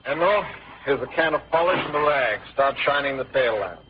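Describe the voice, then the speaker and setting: An actor playing a proud, bossy, jaunty father in a 1950s radio comedy-drama, brisk and pompous. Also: medium pitch, close to an everyday voice. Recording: noisy, dull old radio recording.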